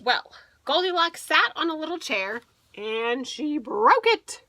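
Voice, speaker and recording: A woman's voice: high voice, very animated, slow pace, sharp tone, dry recording, low-quality recording. A woman teacher reading a picture book aloud to young children, expressively and with little explanatory asides.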